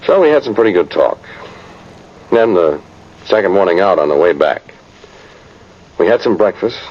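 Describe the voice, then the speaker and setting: A man's voice: sits low, animated, moderate pace. An American man playing a folksy, plain-spoken old cavalry sergeant telling his tale in a vintage radio drama. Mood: reminiscing. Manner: rustic, rambling, plain-spoken old soldier. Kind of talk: 1950s radio drama narration. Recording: muffled noisy vintage radio recording.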